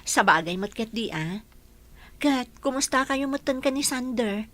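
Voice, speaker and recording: A woman's voice: fairly high pitch, lively intonation, unhurried, fairly bright tone. A woman with an Ilocano accent playing a chatty, envious village woman in a radio drama.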